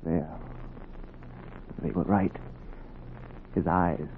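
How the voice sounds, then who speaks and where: Earnest young surgeon, tinny old radio. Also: male voice, deep voice, fairly even intonation, very slow, creaky, exaggerated voice. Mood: pleading, determined. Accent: American. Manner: clipped commands, urgent pleading. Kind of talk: 1940s radio acting. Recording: noisy, thin 1940s radio recording.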